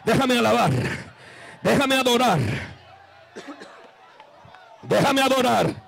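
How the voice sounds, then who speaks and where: A man preaching a fervent Pentecostal sermon in a gravelly, strained voice, impassioned and exclamatory, repeating phrases for emphasis. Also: extremely high pitch, slow pace, fairly bright tone, some chatter behind. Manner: breaks into speaking in tongues and calls on listeners to respond.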